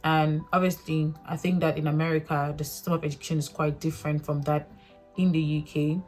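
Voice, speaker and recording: A woman's voice: deep voice, little pitch movement, a little fast, darkish tone, faint music behind. A young woman from Africa with a local mother tongue, talking earnestly to camera in a rambling, self-correcting way. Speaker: vlogger. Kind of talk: casual reflective talk to camera.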